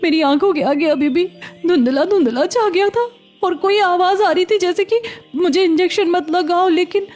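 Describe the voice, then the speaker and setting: Woman playing a young beggar girl, high voice, kind, proud, cartoon drama, faint music. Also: normal speed, hardly any pauses, darkish tone. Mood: distressed. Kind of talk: cartoon story voice acting.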